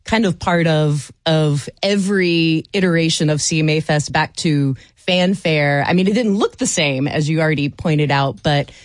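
A woman speaking as a guest on a radio panel, thoughtful and quick to laugh. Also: low voice, no echo, studio quality. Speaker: guest expert.

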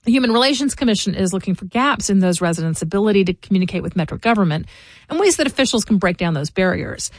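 A woman's voice: medium pitch, expressive, dry recording. Woman hosting a local news podcast, dry sound. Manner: measured, informative delivery. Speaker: podcast host. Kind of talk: scripted news commentary.

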